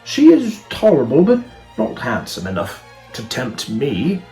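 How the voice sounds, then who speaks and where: A proud young gentleman of a classic storybook romance, played by a male reader. He speaks in formal, declamatory phrases with an aloof bearing and a swooping, gravelly delivery. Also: medium pitch, wildly swooping pitch, measured pace, faint music behind. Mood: haughty.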